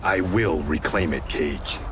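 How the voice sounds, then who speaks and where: A man's voice: very deep voice, takes it slowly, background noise. An actor playing a stern, honorable former gangster swordsman in a video game, speaking in an earnest, serious manner. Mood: stern, exasperated. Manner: earnest, serious, exasperated at times. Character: stern, honorable ex-Yakuza swordsman, a grown man. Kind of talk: cinematic video game acting. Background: game soundtrack music.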